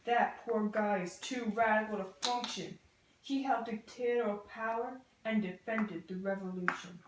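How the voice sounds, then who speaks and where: A woman's voice: medium pitch, steady tone, normal speed, background noise. A young woman, a student presenter, narrating history from a script as part of a two-person skit. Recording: roomy, echoey room sound.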